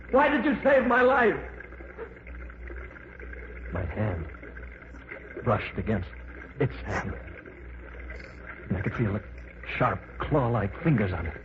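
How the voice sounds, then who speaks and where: An actor playing a frightened man held captive underground, telling his tale in tense, dramatic old-time radio narration. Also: high voice. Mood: desperate. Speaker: first-person narrator of a radio play. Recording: scratchy, tinny old radio broadcast.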